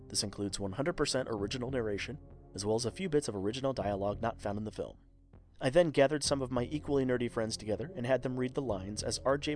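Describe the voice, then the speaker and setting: A man's voice: mid-range voice, a little mellow. A man giving scripted narration as a podcast host and storyteller. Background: faint music.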